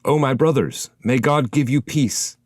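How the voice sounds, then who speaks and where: A man's voice: mid-range voice, measured pace, darkish tone, no echo, voice pushed up, lightly acted. A solemn male shade of an ancient poet speaks in courteous, formal verse, voiced by a male reader. His delivery is declamatory and archaic, recorded dry for an epic poetry audiobook. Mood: solemn greeting.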